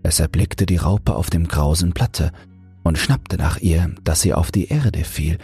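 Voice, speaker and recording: A man with a soft, breathy voice narrating a fairy tale as a bedtime audiobook. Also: deep voice, unhurried. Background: soft music.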